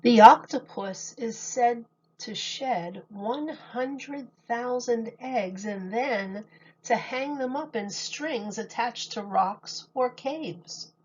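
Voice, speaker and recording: A woman reads a children's picture book aloud like a teacher, taking her time over each phrase. Her medium-pitched voice has a slightly husky edge, and her delivery is expressive and deliberate. The recording sounds a little dull and lo-fi.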